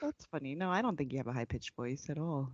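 A woman's voice: deep voice, lively intonation, fast talker, no room sound, muffled recording. A woman co-hosting a podcast in casual chat, giggling often and drawling her fillers. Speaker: podcast co-host.

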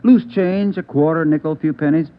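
A man's voice: fairly high pitch, normal speed, lightly acted. A man playing a dry, laconic police lab technician in 1950s radio drama dialogue. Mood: matter-of-fact. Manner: wry, laconic. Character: dry, matter-of-fact male police crime lab technician. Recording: muffled old radio recording.

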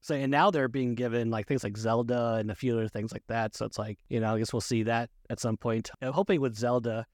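A man's voice: medium pitch, steady tone, fairly quick, hardly any pauses, darkish tone, no echo, clean studio sound. A man co-hosting a casual chat about films, often chuckling as he talks. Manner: chuckles often while talking. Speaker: podcast co-host.

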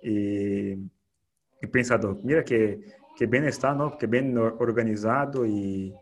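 A man's voice: on the low side, measured pace. A man hosting a podcast interview in casual chat, slipping words into his Spanish with many restarts. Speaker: podcast host.

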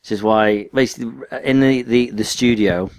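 A man's voice: fairly low pitch, moderate pace, clear, resonant tone. Tired rambling northern English man. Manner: rambling, tired, self-deprecating. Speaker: podcast co-host. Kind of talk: casual chat.

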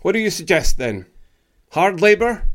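Male actor as stern British army officer, impatient, brusque, authoritative, higher-pitched, radio play. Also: big pitch swings, normal speed. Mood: curt, commanding.

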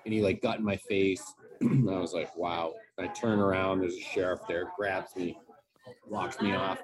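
A man's voice: deep voice, steady tone, normal speed, crowd noise. A man telling a story casually, with slangy, profane talk and quoted back-and-forth. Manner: profane, slangy, quoting dialogue.

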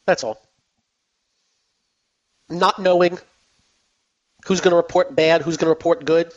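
A man hosts a finance call-in radio show, his voice going hoarse as he talks. He chats in a loose, repetitive way with plenty of fillers, and sits fairly high in pitch. The broadcast sounds dull and lo-fi. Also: steady tone, a little slow. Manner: chatty, repeats himself, many fillers. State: losing his voice. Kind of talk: casual radio market talk.

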